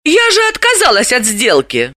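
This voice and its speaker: A woman voicing a shrill, indignant, sharp-tongued young woman with a very high voice. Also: wildly swooping pitch, normal speed, no room sound, clean studio sound, exaggerated voice. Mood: defiant.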